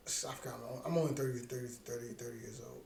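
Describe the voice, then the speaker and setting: A man's voice: mid-range voice, steady tone, racing, a little mellow. A man in his thirties co-hosting a podcast, chatting casually in a laid-back, joking way with frequent swearing. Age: 30s. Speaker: podcast co-host. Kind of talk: casual chat. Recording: echoey room with faint ambient noise.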